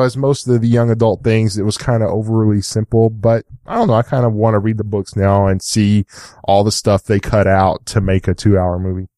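A slightly hoarse man co-hosting a chat show, telling things in a casual, rambling, conversational way. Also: fairly low pitch, mellow, dry recording, clean studio sound. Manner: rambling, frequent "like" and "you know". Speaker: co-host of a tech chat show.

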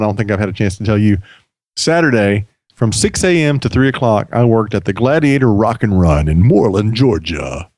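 A man with a low, slightly hoarse voice hosts a casual podcast, rambling along with plenty of restarts. He speaks close to the microphone in a dry, dead-sounding room. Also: a little mellow, dry recording. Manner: chatty, rambling, many restarts. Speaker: podcast host.

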